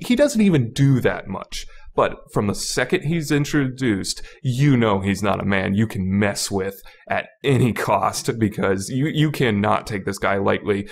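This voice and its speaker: Male reviewer, lowish voice, casual podcast delivery, loose and rambling, doubles back on phrases. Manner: rambling, repeats phrases for emphasis, self-correcting. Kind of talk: casual unscripted video game review commentary.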